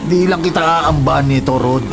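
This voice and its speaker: A man's voice: fairly high pitch, normal speed, over loud music, close to an everyday voice. A man playing a hot-tempered, threatening illegal logger in a Filipino radio drama, with a Filipino accent and a confrontational manner. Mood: threatening rage. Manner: menacing, confrontational.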